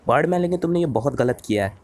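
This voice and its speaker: A man playing an earnest, excitable boy superhero in a cartoon dub, pleading and repeating himself when flustered. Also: a little high, rapid-fire, no echo, natural voice. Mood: upset.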